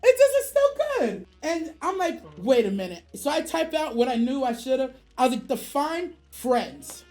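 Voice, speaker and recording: A woman's voice: high voice, big pitch swings, moderate pace, hardly any pauses, darkish tone. A woman streamer telling a casual story in profane slang, acting out both sides of the dialogue.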